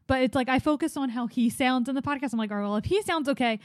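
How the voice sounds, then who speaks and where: Female podcast co-host, dry teasing wit, breath audible, slightly high, close dry mic. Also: fast, dry recording. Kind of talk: casual podcast chat. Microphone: studio podcast mic.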